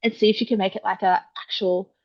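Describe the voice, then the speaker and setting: An Australian woman in her young adult years talks through her story in loose, conversational chat. She strings thoughts together with fillers and quick quoted asides. The audio is a little dull and lo-fi. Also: mid-range voice, fairly even intonation. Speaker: guest author. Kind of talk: casual interview chat.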